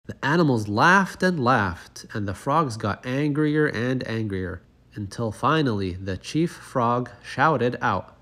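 A man narrating a children's picture book as a read-aloud, in an expressive storytelling manner. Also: mid-range voice, slow.

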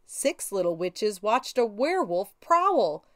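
A middle-aged woman hosting children's storytime, animated and sing-song, speaking directly to young listeners. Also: mid-range voice, slow pace, echoey room. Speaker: children's read-aloud show host. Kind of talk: children's storytime presenting and picture-book reading.

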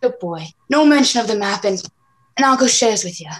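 A woman playing an ailing, desperate old pirate captain with gruff sailor talk in an amateur radio play. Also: on the high side, animated, fairly quick, close to an everyday voice, poor audio. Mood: desperate, failing.

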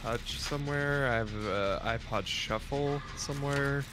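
A man's voice: medium pitch, unhurried, noisy background. A man chatting casually with others while playing a game. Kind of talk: casual gaming chat.

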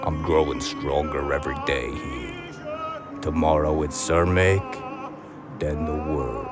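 Man playing a very deep-voiced cocky mobster. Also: fairly even intonation, slow pace, music in the background. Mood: defiant. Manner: brash, foul-mouthed wiseguy. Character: cocky, ambitious young male mob associate. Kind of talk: comedic crime audio drama acting.

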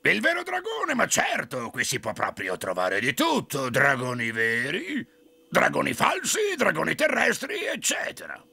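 Croaky, theatrical toad showman. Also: a little high, huge pitch swings, a little slow, bright, forceful tone, exaggerated voice. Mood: boastful, sly. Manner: bombastic showman with wild swings from gushing to scolding. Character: theatrical, pompous, greedy male toad, monk guardian of an amusement park. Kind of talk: animated film dub. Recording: music under the voice.

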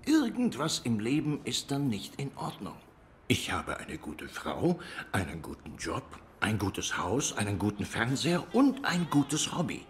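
A man's voice: medium pitch, huge pitch swings, unhurried, a little hiss or hum. An actor playing a bored, self-righteous dog policeman who rambles insistently in a noir video game.

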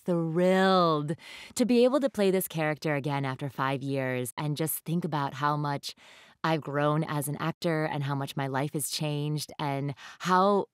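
A woman's voice: deep voice, unhurried, clean tone, dry recording, studio quality. A woman voice actress giving a personal message to game players.

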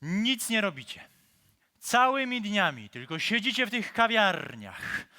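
A man acting a fussy, simple-minded man in love for an operetta radio play. His voice is high and tense, leaping up and down as he grumbles and mutters. He comes across as comically uptight and indignant. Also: sing-song, measured pace, bright, forceful tone, heavily acted. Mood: indignant scolding. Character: fussy, uptight, naive lovesick man, a grumbling visitor abroad.

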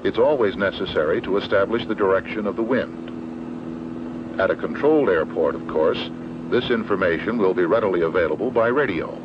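An American man giving measured, matter-of-fact, formal instructional narration in the manner of a 1970s training film. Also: low-pitched, expressive. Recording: dull, noisy old 16mm film soundtrack.

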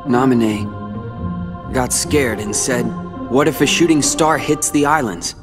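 An actor voicing a brooding teenage rival who speaks coldly and accusingly. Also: male voice, medium pitch, unhurried, long reverb tail, lightly acted. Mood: recalling. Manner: cold, accusing. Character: brooding silver-haired teen rival, cold and bitter. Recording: game cutscene audio with music.

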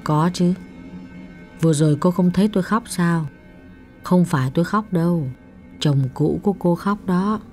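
A man's voice: high-pitched, normal speed, long pauses, darkish tone, faint music behind, pitched above the performer's own voice. A man voicing a female spirit medium who urges and coaxes firmly, in a raised voice. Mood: calm assured. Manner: urgent, insistent urging. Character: female medium, firm, coaxing and insistent. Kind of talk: audiobook narration.

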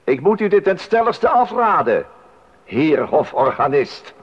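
Imperious nobleman, high-pitched, formal, domineering, radio drama, dull older recording. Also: sing-song, a little slow, breathy edge, exaggerated voice. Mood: threatening, commanding. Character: haughty, domineering male German count issuing threats. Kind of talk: 1980s radio play acting. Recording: dull, band-limited 1980s radio recording.

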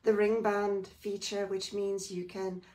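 A woman hosting a live Bible journaling stream, chatty and friendly, greeting viewers by name, a little croaky today. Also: mid-range voice, level tone, slow, a little mellow. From South Africa. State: slightly croaky. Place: indoors at a craft table. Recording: distant mic, faint room noise.

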